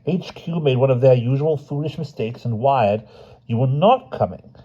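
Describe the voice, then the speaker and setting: A man's voice: mid-range voice, normal speed, warm tone, husky, dull audio, pitched above the performer's own voice, close to an everyday voice. A man voicing a fussy, eager young male official who gushes apologetically, partly in a put-on Austrian accent. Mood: apologetic. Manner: apologetic and gushing.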